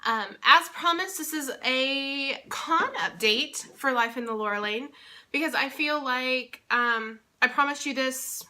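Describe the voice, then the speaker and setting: A woman's voice: sits high, a little slow, pushed and bright, a little hiss or hum. A young woman giving a casual vlog update, congested and still recovering from losing her voice, chatty and rambling with many fillers. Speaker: vlogger and convention interpreter.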